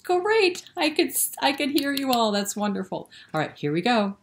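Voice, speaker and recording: A woman's voice: a little high, sing-song, moderate pace, background noise. A woman hosting a story time for young children, speaking directly to them with questions and encouragement. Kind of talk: interactive read-aloud for young children, with singing.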